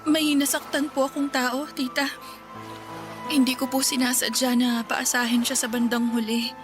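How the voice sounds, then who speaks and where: A dejected, guilt-ridden young woman, acted by a woman in a radio drama. Her voice is high, with a polite, subdued, confessional manner over music. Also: little pitch movement, normal speed, lightly acted. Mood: remorseful. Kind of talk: Filipino radio drama dialogue.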